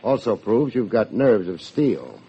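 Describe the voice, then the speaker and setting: An American actor playing a wry, hard-boiled private detective in an old radio drama, with dry, needling delivery. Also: male voice, lowish voice, sing-song, unhurried, dark tone. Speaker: private investigator and narrator. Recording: dull vintage radio recording.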